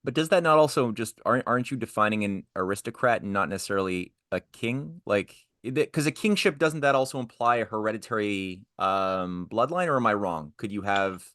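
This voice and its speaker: Low-voiced man, tentative and questioning. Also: expressive, brisk, hardly any pauses, a bit bright, no room sound, clean studio sound. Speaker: study group participant. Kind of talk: informal discussion.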